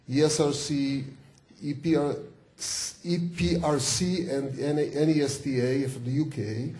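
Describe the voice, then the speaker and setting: A man's voice: mid-range voice, mellow. A man introducing a keynote speaker at a university event. He stops and restarts often and corrects himself as he reads out her bio. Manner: halting, with many false starts and self-corrections. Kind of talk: hesitant spoken introduction, reading a bio. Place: lecture hall at a university event.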